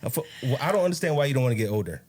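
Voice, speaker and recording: A man's voice: medium pitch, big pitch swings, very fast, a little mellow. A man in his late thirties co-hosting a podcast with his wife. He talks in a laid-back, slangy, joking way. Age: 30s. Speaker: podcast co-host. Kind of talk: casual chat with his wife.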